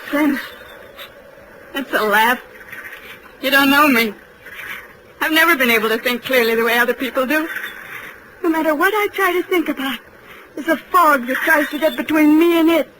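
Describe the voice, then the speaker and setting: A woman's voice: high voice, moderate pace, long pauses, lightly acted. An actress playing a troubled, haunted young woman in an overwrought, melodramatic 1940s radio detective drama style, on a noisy old recording. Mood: bitter, agitated. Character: troubled, haunted young woman who believes herself evil.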